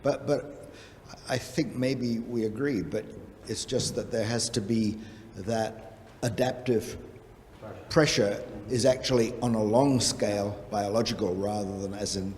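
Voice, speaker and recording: Lower-voiced man debating in an echoey hall. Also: steady tone, unhurried, darkish tone. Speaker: academic in discussion. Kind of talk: conference discussion, arguing a point. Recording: echoey hall, faint noise.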